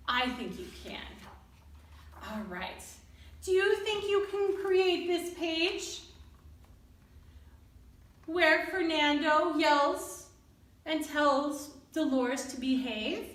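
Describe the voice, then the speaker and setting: A woman hosting a children's storytime, reading and talking to young listeners in an expressive way. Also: sits high. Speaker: storyteller and children's session host. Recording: roomy, distant microphone.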